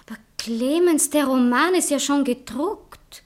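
An actress playing a passionate, self-dramatizing young bride-to-be in a satirical radio play. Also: female voice, sits high, measured pace. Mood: earnest, pleading. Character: passionate young bride-to-be who writes novels. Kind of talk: theatrical literary satire dialogue.

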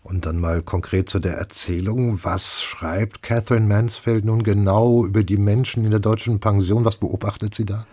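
A man hosting a radio programme, presenting scripted information in a measured way. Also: fairly low pitch, fairly even intonation, darkish tone, husky. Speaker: radio host. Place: radio studio.

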